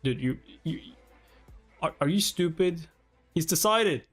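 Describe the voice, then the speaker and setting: Higher-pitched man reacting casually over music. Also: lively intonation, unhurried. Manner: exclamatory, informal, mildly profane. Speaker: reactor reading a webtoon aloud on a livestream. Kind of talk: casual live reaction and read-along. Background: background music.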